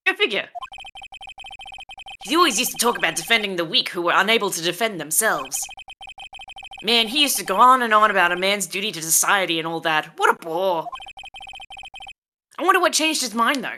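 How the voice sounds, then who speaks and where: Woman doing a bright, goofy young guy. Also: medium pitch, sing-song, moderate pace, pauses often, a little hiss or hum. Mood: puzzled. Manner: slangy, scatterbrained, dramatic. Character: goofy, dim, boastful young man, casual buddy. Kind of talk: live fan dub of a visual novel game.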